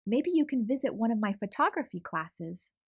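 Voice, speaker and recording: Woman doing a cheerful aunt, eager, lively, bright and high, storybook reading, dry sound. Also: moderate pace, slightly rough, no echo, exaggerated voice. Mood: friendly. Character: cheerful adult aunt, a photography teacher.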